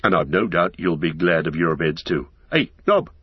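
A man's voice: low-pitched, steady tone, normal speed, breathy edge, no echo, lo-fi sound. A man voicing a good-hearted, easily flustered innkeeper in a storybook, rambling along in folksy, rustic phrases. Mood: contented, chatty. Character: broad-faced, garrulous, good-hearted, flustered male innkeeper. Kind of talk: audiobook character acting.

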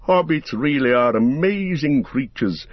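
A man's voice: medium pitch, lively intonation, unhurried, dry recording, pitched above the performer's own voice. Man voicing a stern, wise old wizard, slightly muffled. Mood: grave, warning. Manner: grave, emphatic, old-fashioned speech.

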